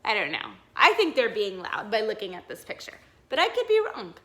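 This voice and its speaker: Bright-voiced woman teacher reading to children. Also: medium pitch, a little fast. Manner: expressive, child-directed, encouraging. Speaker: teacher reading to young pupils. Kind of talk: storybook read-aloud with questions to children.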